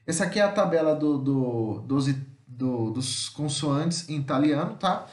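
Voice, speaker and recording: A man with a Brazilian Portuguese accent teaches in a fairly high voice. He reads material aloud, then explains it informally and haltingly, repeating himself and adding little check-in questions. The room echoes noticeably around him. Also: lively intonation. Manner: halting, repetitive, frequent tag questions to check understanding. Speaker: language teacher. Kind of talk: informal lesson, reading slides aloud and explaining. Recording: roomy, echoing voice-over.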